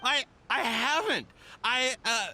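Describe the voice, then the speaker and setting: A man's voice: extremely high pitch, takes it slowly, noisy background. A man playing a nervous, pleading merchant in a video game, stammering and protesting in a flustered way. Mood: panicked. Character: nervous, pleading, shifty male island merchant. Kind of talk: video game character acting.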